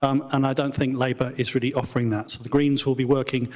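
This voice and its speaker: A middle-aged man gives his opening statement as a candidate in a theatre. His voice is mid-pitched, his delivery is measured and direct, and a long hall reverb trails his words. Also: little pitch movement, darkish tone. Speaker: parliamentary candidate. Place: theatre with audience.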